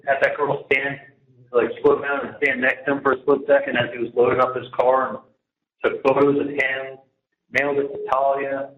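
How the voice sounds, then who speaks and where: A man talking casually and at length on a jail phone call, emphatic and repetitive, often trailing off mid-sentence. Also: medium pitch. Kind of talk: casual, rambling private phone conversation. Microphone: telephone handset. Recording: muffled, noisy, echoey jail phone line.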